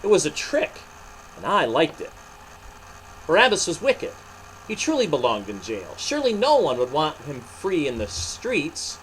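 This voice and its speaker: Male pastor-storyteller for children: lively, expressive intonation, slightly high voice, faint hum underneath. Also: unhurried, poor audio. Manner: dramatic, emphatic. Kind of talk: animated storybook narration and children's devotional.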